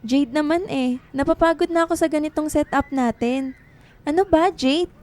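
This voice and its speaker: A woman's voice: high-pitched, moderate pace, a little mellow, natural voice. An actress playing a weary, heartbroken young writer and girlfriend in a Tagalog radio drama. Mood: pleading, upset.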